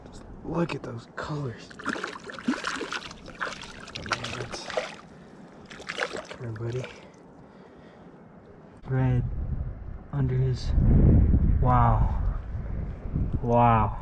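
A young man talks slowly and quietly while fishing at a mountain lake, admiring each catch as he goes. He is recorded outdoors on a body-worn action camera, with a steady hiss of water and wind behind his voice. His pitch sits in a middle range. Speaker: fishing vlogger. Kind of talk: casual fishing commentary.

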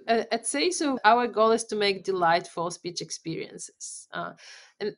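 A woman in her thirties whose first language is Polish, a company founder talking thoughtfully with halting restarts on a video call. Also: mid-range voice. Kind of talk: casual interview. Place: home room on a video call.

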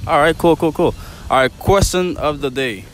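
A man's voice: a little high, normal speed, a bit bright, a little hiss or hum. A young man doing casual street interviews outdoors, teasing and repeating answers back. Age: young adult. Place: outdoors on a university campus.

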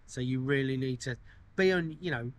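A man's voice: mid-range voice, moderate pace. A man in his fifties chatting casually to camera as a renovation vlogger.

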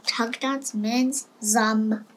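A woman's voice: fairly high pitch, fairly even intonation, slow. A woman reading a children's storybook aloud as narrator. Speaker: storybook reader.

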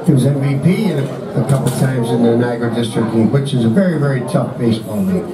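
A man's voice: medium pitch, quick pace. An older man emceeing a banquet at a podium, chatting casually with folksy asides to the crowd, recorded from far off in a large hall. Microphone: podium microphone, filmed from far.

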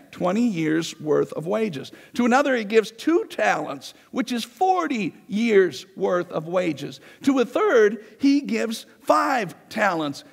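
A man in his forties preaching a sermon in a conversational way, his voice going hoarse as he paces the stage of a large church. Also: high voice. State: losing his voice. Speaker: pastor. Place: large church sanctuary, indoors. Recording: long reverb of a church hall.

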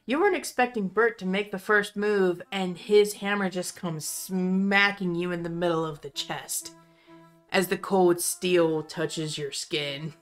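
A woman's voice: fairly low pitch, fairly even intonation, a little slow, faint music behind. A woman acting as game master, narrating a tabletop game in descriptive second-person storytelling and casually refereeing the rules.

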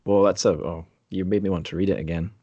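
Low-voiced man hosting a podcast. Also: steady tone, quick pace, dark tone, slightly rough, no room sound, lo-fi sound. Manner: informal, self-deprecating, rambling. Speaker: podcast host. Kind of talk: casual podcast chat.

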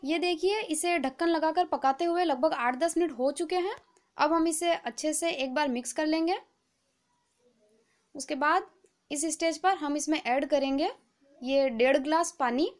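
A woman hosting a cooking channel, giving a calm, patient, step-by-step recipe voice-over. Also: sits high, measured pace. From India.

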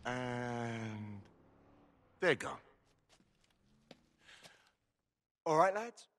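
An actor playing a brash, mocking middle-aged London tough with an English accent in a video game cutscene. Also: male voice, mid-range voice, sing-song, drawn-out words, fairly bright tone, big-room sound, a little hiss or hum, heavily acted. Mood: gleeful, mocking.

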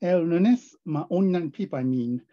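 A man with a Japanese accent reading an academic talk in halting, hesitant English over a video call. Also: on the high side, animated. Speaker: presenter at an academic seminar. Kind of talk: academic talk read from a script. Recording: dull, muffled video-call sound.

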